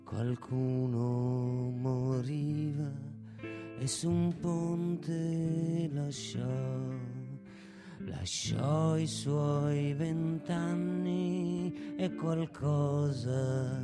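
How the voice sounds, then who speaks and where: A woman singer delivering a narrative ballad in short, measured phrases. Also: low-pitched, steady tone. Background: prominent plucked guitar.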